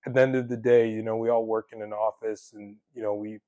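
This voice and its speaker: A man's voice: fairly low pitch, level tone, quick pace, mellow, no echo, lo-fi sound. Young male podcast host, conversational, dry close sound, filler-heavy delivery. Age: 20s. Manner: chatty, many fillers, laughs easily. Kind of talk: casual conversation.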